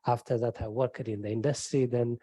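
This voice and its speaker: A middle-aged man with an Ethiopian accent, a professor on a panel, telling his story in a relaxed way, often adding "you know". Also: mid-range voice, fairly quick, dry recording. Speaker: wind engineering professor, panelist. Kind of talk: panel discussion answer.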